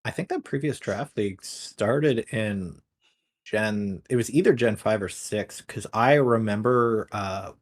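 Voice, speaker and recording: A man's voice: fairly low pitch, measured pace. A man with a slight lisp, chatting casually as a guest on a live stream, his voice worn from a long day of talking. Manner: self-deprecating, swears casually.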